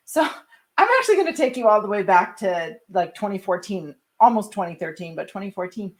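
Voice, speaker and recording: A woman interviews on a podcast in a middle-pitched voice. She is chatty and familiar, taking her time to wind through long remarks and questions. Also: animated, fairly quick. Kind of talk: casual conversational interviewing.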